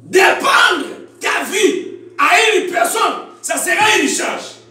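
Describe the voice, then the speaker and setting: A Congolese man preaching loud, shouting and calling for amens. his voice is very high and bright, and it rings around a big echoey church hall. he sounds far from the mic, with music behind him. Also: expressive, takes it slowly. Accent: Congolese French. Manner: shouting, call-and-response with congregation. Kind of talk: sermon.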